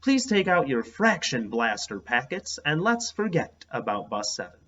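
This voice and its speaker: A man playing a calm, practical male schoolteacher in a children's storybook read-aloud. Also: mid-range voice, normal speed, highs cut off. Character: calm male elementary school teacher organizing his class.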